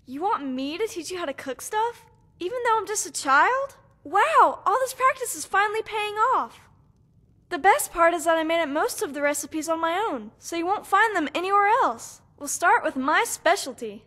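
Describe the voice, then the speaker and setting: An eager little kid, proud and excited as a game character. The voice is extremely high and bright. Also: female voice, normal speed, full character voice. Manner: excited, childlike. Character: eager, proud young child cook. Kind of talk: video game character acting.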